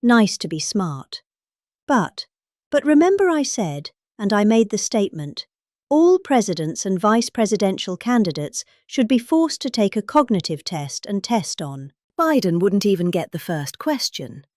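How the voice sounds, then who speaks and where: A woman's voice: medium pitch, sing-song, measured pace, darkish tone, dry recording, studio quality. A middle-aged British woman giving an emphatic, theatrical reading of a rambling speech in a conversational way. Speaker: reader.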